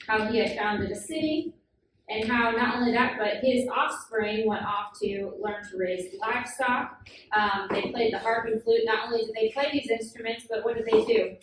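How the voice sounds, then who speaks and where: A woman's voice: on the high side, normal speed. A woman teaching a children's Bible class, asking the kids questions patiently with frequent "um" fillers. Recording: very echoey room, far from the mic, noisy.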